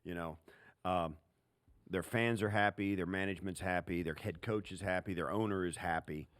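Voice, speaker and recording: A man with a fairly low voice gives football commentary as a podcast co-host. His talk is colloquial and conversational, leaning on repetition for emphasis, and the sound is dry and close. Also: moderate pace, a bit bright, no room sound. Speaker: sports podcast co-host, analyst.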